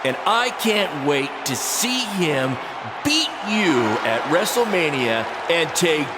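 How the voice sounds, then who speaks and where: A broken veteran wrestler in a video game, full of regret and pride. His fairly high male voice is earnest and emphatic, rising and falling freely. A very loud crowd roars behind him. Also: very animated, takes it slowly. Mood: defiant, proud. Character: remorseful, broken veteran wrestler, proud former mentor. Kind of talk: wrestling promo on microphone. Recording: loud arena crowd and noise.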